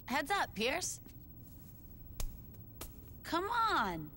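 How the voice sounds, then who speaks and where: A woman's voice: sits high, wildly swooping pitch, drawn-out words, sharp tone, big-room sound, slight background noise, full character voice. A woman playing a casual, teasing gang member in a video game, offhand and laid-back. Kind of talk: game cutscene acting.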